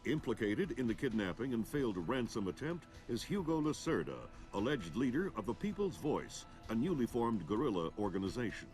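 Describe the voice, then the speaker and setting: Man as a lively-toned news anchor over music. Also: medium pitch, brisk, few pauses, lightly acted. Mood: formal. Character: polished male television news anchor, grave and formal. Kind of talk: scripted news report in a video game.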